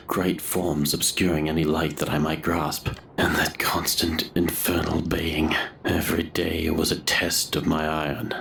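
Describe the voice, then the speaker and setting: A man playing a haunted grave robber who narrates a gothic horror audio drama in brooding, literary, measured phrases. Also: rumbling low, vocal fry, fairly bright tone, slight background noise, heavily acted. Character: haunted male grave robber narrating his grim confession.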